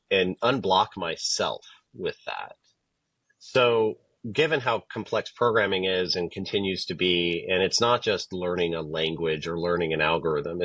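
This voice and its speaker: A man's voice: low-pitched, a bit bright, no room sound, poor audio. A man speaking as a tech expert guest on a podcast, explaining his points conversationally with quoted examples. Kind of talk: conversational interview answers.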